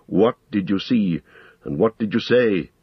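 A man voicing a grave, commanding old male wizard in a storybook reading, speaking sternly and deliberately. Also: low voice, breathy edge, no echo, dull audio, heavily acted.

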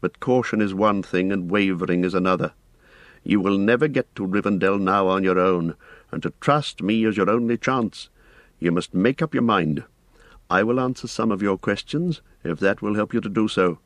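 A man's voice: lowish voice, moderate pace, no echo, natural voice. Man reading a grim, guarded ranger.

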